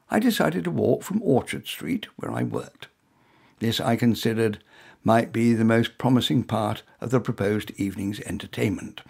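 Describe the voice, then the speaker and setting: An English man narrates a comic short story in the first person. His voice is husky and creaky, with a very animated pitch that rises and dips through droll, conversational asides. The delivery is wry, like an author reading his own work.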